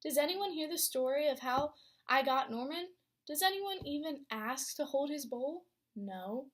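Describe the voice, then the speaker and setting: Young female student teacher, expressive picture-book reader, slightly high voice. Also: a little slow. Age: young adult. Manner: expressive storytelling with teacher questions. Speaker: student teacher reading aloud. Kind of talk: picture book read-aloud to a class.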